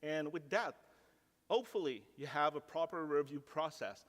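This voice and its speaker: A man's voice: a little high, normal speed, a bit bright. A French-speaking man from Canada giving a casual technical conference talk, sprinkling in "like" and joking at his own expense. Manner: casual, self-deprecating, filler "like". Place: large conference ballroom. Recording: reverberant conference ballroom.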